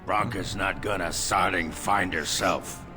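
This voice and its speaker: An actor playing a crude, boastful, drunken dwarf warrior in a fantasy video game, gruff and gravelly. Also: male voice, low voice, steady tone, a little slow. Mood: impatient. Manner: gruff, coarse. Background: game music.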